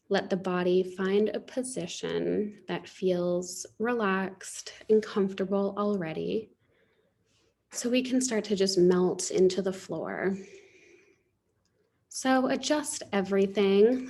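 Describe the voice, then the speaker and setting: A woman teaching yoga nidra, guiding a relaxation meditation in a soothing, unhurried way. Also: mid-range voice, mellow.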